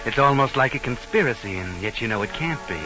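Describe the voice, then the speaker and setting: An actor playing a mocking, ominous narrator of crime tales, taunting the villain directly in an old-time radio mystery. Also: male voice, medium pitch, animated, fast talker, lightly acted, low-quality recording. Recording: vintage radio recording with loud music.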